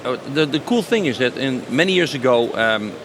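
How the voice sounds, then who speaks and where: A man's voice: mid-range voice, expressive, moderate pace. A man gives spontaneous, enthusiastic interview answers as a company sales director, stressing and repeating his phrases. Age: 50s. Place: trade show stand. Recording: noisy busy exhibition location, clear background noise.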